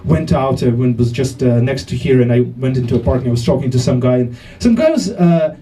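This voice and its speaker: A man from Estonia performing a stand-up comedy set, with a halting delivery full of fillers and restarts. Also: mid-range voice, warm tone, recorded from a distance, a little hiss or hum, poor audio. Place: comedy club stage with live audience.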